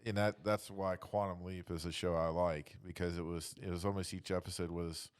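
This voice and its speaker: A man with a notably low voice talks as a panelist in a relaxed discussion. He states opinions with emphasis, often circling back and restating his point. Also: moderate pace, smooth. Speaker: podcast panelist. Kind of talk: opinionated casual group discussion.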